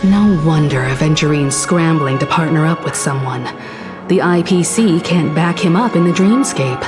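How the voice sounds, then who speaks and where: A woman voicing a calm, analytical investigator companion who speaks in a measured, composed way. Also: deep voice, close to an everyday voice. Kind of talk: game voice acting. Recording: game music behind.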